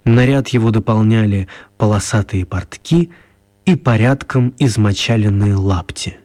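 A man's voice: fairly low pitch, a little slow, mellow. A man narrating a radio play as a scripted storyteller.

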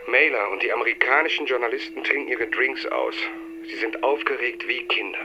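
A man's voice: medium pitch, a little fast. A man narrating a radio drama in a scripted, present-tense storytelling style. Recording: dull band-limited sound, clear noise.

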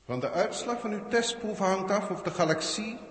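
Cold, echoing alien overlord. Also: male voice, high-pitched, animated, moderate pace. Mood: stern, ominous. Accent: Netherlandic Dutch. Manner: formal, pedantic, condescending. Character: cold, haughty alien galactic proctor judging humans. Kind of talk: 1960s radio drama acting. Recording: echoey hall reverb, 1960s radio recording.

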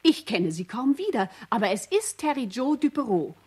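A woman's voice: fairly high pitch, sing-song, moderate pace, a little mellow, breathy edge. A woman playing a concerned schoolteacher who recalls her pupil in reflective testimony in a radio play. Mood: reflective, troubled.

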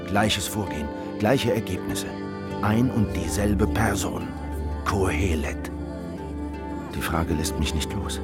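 Brooding deep-voiced revolutionary man. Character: driven, brooding Anabaptist revolutionary hunting a spy. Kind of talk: German radio drama acting. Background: prominent music.

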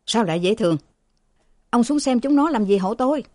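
A woman's voice: fairly high pitch, animated, fairly quick, a little mellow, dry recording, voice pushed up, close to an everyday voice. A woman reading aloud, voicing a bedridden old father who cries out in strained, wailing tones. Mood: angry. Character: sick old man, bedridden father, bitter and shrill.

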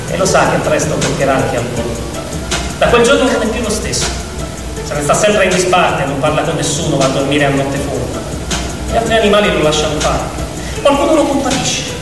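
A man narrating a story as scripted drama, theatrical, in short emphatic phrases. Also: a little high. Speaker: narrator of a radio play. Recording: very echoey hall, distant, noisy.

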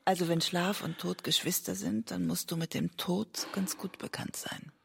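An actress playing a weary, emotionally raw middle-aged woman in a radio drama, intimate and restrained, with a husky, breathy voice. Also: on the low side, steady tone, normal speed, a bit bright, a little hiss or hum. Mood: coaxing. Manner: intimate, restrained, literary narrating. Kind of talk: radio drama narration and dialogue.

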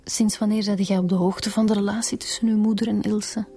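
A woman with a Flemish accent playing a calm, matter-of-fact police detective in a radio drama, informal and reassuring. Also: mid-range voice, a little fast, faint music behind, lightly acted. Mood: reassuring, probing.

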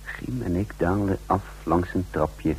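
A man's voice: deep voice, measured pace, darkish tone, rough recording. A man playing the careful, commanding chief of a break-in team in a radio drama, terse and controlled. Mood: narrating, tense. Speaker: narrator and lead character. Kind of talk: radio drama acting and narration. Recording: radio drama with faint background noise.

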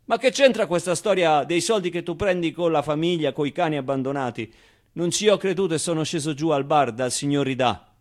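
Theatre monologue: male actor as wry, tender orphan boy, higher-pitched voice, confiding and conversational. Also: a little fast, lightly acted. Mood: wry, candid. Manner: conversational, hesitant interjections, emphatic repetitions. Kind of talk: theatrical monologue, intimate storytelling.

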